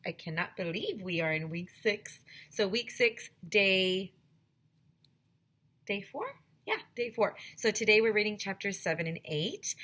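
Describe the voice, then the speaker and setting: A woman in her forties, a teacher, reading a chapter book aloud to her students in an animated storytelling way, on a headset mic at home. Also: medium pitch. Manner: animated storytelling, switching voices for characters. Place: small home room decorated as a classroom. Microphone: headset microphone, webcam video.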